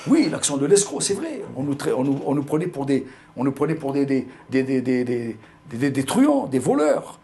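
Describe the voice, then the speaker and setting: A man's voice: mid-range voice, very animated, normal speed, few pauses, mellow, a little hiss or hum. An older man from Algeria with a pied-noir accent, telling his memories in an interview, hesitating and repeating words. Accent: pied-noir French. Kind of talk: recounting personal memories.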